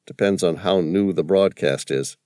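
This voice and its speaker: A man voicing a calm adult male investigator with a dry, understated manner. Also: low voice, normal speed, no echo, lightly acted. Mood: wry.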